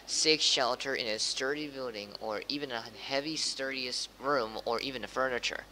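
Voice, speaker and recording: A man acting as a local TV news anchor with a bright voice, speaking in a halting, informal way and stumbling over his words. There is faint noise on the recording. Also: mid-range voice, low-quality recording. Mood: apologetic, halting. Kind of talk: amateur acted news interview.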